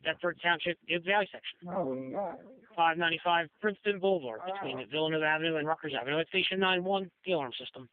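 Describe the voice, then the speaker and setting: Tinny radio transmission, male fire dispatcher, raised pitch, clipped formal announcement. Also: quick pace. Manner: clipped, formulaic phrasing.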